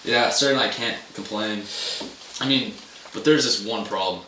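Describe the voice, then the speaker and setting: A man's voice: on the low side, moderate pace, natural voice. A young man playing a chummy, bragging old buddy with a hearty, casual delivery in an amateur stage play. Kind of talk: amateur one-act stage play dialogue. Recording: echoey room, distant mic, noticeable noise.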